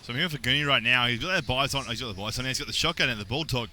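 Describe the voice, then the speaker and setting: A young adult man with an Australian accent doing play-by-play esports commentary, getting excited on big plays. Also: medium pitch, racing. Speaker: esports caster.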